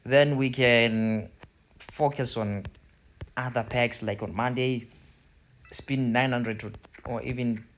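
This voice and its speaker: A man from Zimbabwe with a Zimbabwean accent gives a casual gaming tutorial, rambling a little and repeating words as he goes. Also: mid-range voice. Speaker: gaming video host. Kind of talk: casual tutorial commentary over football game screen.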